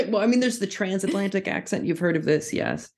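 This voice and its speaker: A woman from North America hosting a podcast, joking drily and laughing often in relaxed chat. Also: medium pitch, vocal fry. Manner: dry, joking, quick to laugh. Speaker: podcast host. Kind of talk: casual chat.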